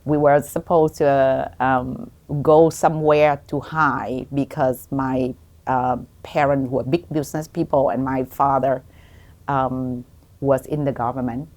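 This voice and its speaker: Podcast guest: middle-aged woman, low register, Vietnamese accent, halting personal storytelling. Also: steady tone, a little mellow. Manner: halting, with many pauses. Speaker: guest, doctor.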